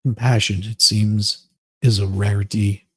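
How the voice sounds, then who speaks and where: A man voicing a self-pitying, melodramatic woman in a slow, overwrought, mock-solemn dramatic reading of a grievance essay. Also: on the low side, flat delivery, husky, voice pushed down. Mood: wounded, grave.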